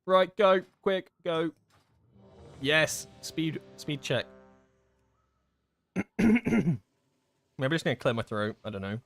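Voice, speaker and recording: A young man giving casual livestream commentary while gaming, chatty and self-deprecating with excitable outbursts, his voice going hoarse. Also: on the high side, slow pace, pauses often, faint music behind. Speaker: gaming streamer.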